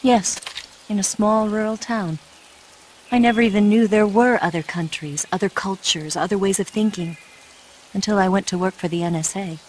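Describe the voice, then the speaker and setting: A woman's voice: medium pitch, a little mellow, a little hiss or hum, natural voice. A woman playing a cool, teasing American spy with a coy, knowing manner in a video game. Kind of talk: video game cutscene acting.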